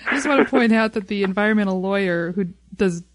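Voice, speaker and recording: A woman's voice: mid-range voice, fast talker. A woman co-hosting a casual fan podcast, chatty and rambling as she talks. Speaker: podcast co-host. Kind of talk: casual fan discussion of a TV show.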